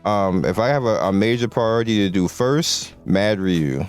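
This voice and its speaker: A man's voice: on the low side, normal speed, clean tone, faint music behind. A man losing his voice, giving laid-back, slangy game commentary with lots of restarts. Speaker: mobile game content creator.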